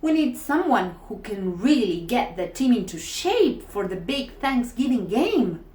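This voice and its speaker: A woman's voice: a little high, very animated, measured pace, mellow. Woman voicing cheeky, emphatic school kids, echoey. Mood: earnest. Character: school kids on a football team, cheeky and gossipy. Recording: echoey room.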